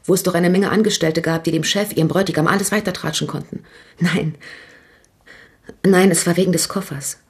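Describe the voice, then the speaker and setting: A woman's voice: on the low side, fairly even intonation, brisk, husky. An actress playing a nervous, talkative woman shop owner in a radio crime drama, speaking hesitantly. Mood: hesitant, confiding. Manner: hesitant, gossipy, restarting sentences.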